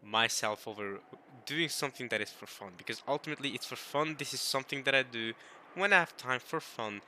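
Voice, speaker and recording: Rambling young man with a bright tone. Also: medium pitch, fairly quick, a little hiss or hum. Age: young adult. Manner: rambling, babbling. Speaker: video creator reading a visual novel. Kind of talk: casual talk to viewers.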